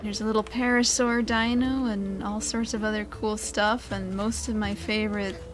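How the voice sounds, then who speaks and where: A woman's voice: mid-range voice, level tone, normal speed, slight background noise. A woman giving casual, chatty gameplay commentary as the host of a gaming video. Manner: chatty, informal.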